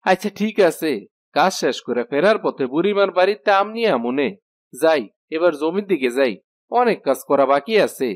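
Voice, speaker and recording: A man's voice: on the high side, animated, normal speed, no room sound, close to an everyday voice. Man as a rustic Bangladeshi village husband, close dry sound. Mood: matter-of-fact. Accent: colloquial Bangladeshi. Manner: rustic, casual. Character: plain-spoken, easygoing village husband. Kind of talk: Bengali fairy-tale cartoon dialogue.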